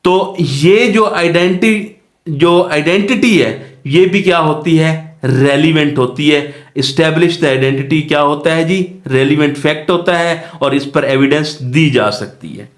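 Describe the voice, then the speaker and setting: Pakistani man, law lecturer, unhurried delivery, explanatory and didactic, asks and answers his own questions, roomy echo. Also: on the high side. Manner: didactic, poses questions and answers them himself. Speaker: law teacher. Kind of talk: explanatory lecture with worked examples. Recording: echoey room.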